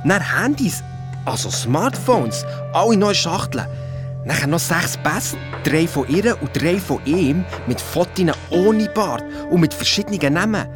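An actor playing an excitable young boy and amateur detective with a Swiss German accent, telling his story colloquially in a children's radio play. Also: medium pitch, measured pace. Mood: excited, secretive. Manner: colloquial, eager, defensive when challenged. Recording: music behind the voice.

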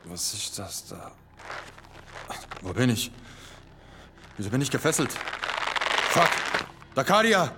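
An actor playing a frightened young circus magician held captive in a horror audio drama, pleading and bewildered. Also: male voice, mid-range voice, sing-song, takes it slowly, pauses often, background noise. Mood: panicked. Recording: music behind the voice.

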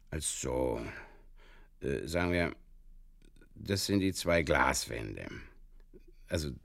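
A man playing an earnestly muddled Bavarian fishkeeper in a halting, circuitous comic radio monologue, with a Bavarian accent. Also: extremely low pitch, gravelly, no echo, clean studio sound, full character voice. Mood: fumbling, earnest. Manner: halting, circuitous, literal-minded, over-explaining. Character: rambling, earnestly muddled Bavarian fishkeeper telling absurd tales.

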